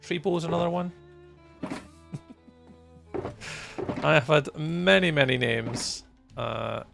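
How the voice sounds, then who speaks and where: Gravelly Scottish man, thirties, softened accent, rambling, self-deprecating, faint game music behind. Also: fairly high pitch, takes it slowly. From Scotland. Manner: rambling, self-deprecating, many ums and restarts. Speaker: streamer. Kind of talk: casual live-stream chat while gaming.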